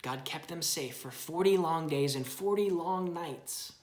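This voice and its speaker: A woman's voice: low-pitched, a little slow, a little mellow, roomy sound. A woman reading a children's Bible story aloud expressively, chatting with a young child and asking simple questions.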